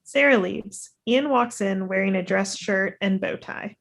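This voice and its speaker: A woman's voice: mid-range voice, a little slow, vocal fry, a bit bright. A young woman reads stage directions as a narrator. Her voice sits in the middle range, and she is speaking over a video call. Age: young adult. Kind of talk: scripted narration.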